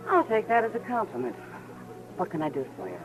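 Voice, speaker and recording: A woman's voice: mid-range voice, huge pitch swings, quick pace, music in the background, low-quality recording. A woman playing a self-assured, wry saloon keeper with an American accent in a 1950s radio western. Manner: dry, teasing, easygoing. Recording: old radio recording with background bustle.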